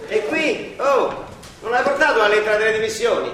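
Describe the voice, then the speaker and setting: A man's voice: high voice, expressive, brisk, bright, forceful tone. A man playing a wry, exasperated older monsignor with a Roman accent, sardonic and blustering in a theatrical comic radio play. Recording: very echoey stage, distant mic, faint noise.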